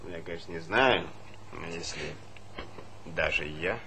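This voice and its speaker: A low-voiced, grumbling official. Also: male voice, a little slow. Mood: skeptical, musing. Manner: protesting in short repeated phrases. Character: grumbling, reluctant male official, amused but resisting. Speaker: actor. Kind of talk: Soviet satirical stage comedy acting. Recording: slightly noisy old theatre recording.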